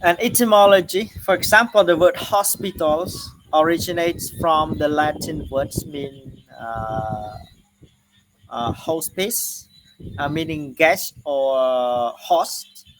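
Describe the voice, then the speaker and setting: A man with a Khmer accent giving an online lecture, speaking haltingly with many "uh" and "okay" fillers. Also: a little high, fairly bright tone, dry recording, rough recording. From Cambodia.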